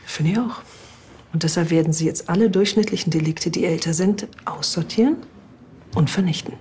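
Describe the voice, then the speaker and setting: An actress playing a bossy, sarcastic police chief, pointed and condescending, in a TV crime comedy. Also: female voice, on the low side, a little fast, mellow, slight background noise, lightly acted. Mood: stern, bossy. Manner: pointed, condescending, mock-patient.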